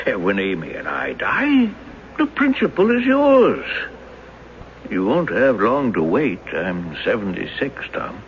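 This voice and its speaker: A man's voice: fairly low pitch, huge pitch swings, measured pace. An actor in a radio drama playing a genial, folksy old retired bank man who coaxes like a crafty confessed robber. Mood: coaxing, persuasive. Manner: folksy, coaxing. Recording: dull, noisy old radio broadcast.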